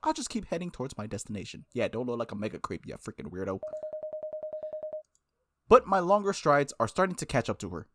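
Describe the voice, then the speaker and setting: Expressive male streamer, very varied pitch. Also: brisk, long pauses, slight background noise. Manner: expressive read-aloud, slangy asides. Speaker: game streamer, let's-play host. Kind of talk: reading a visual novel aloud with casual reactions.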